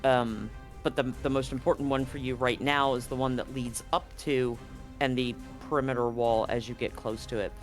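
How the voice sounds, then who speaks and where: A man running a tabletop horror game as game master, setting scenes slowly and deliberately, often restarting his sentences. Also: mid-range voice, steady tone, faint music behind.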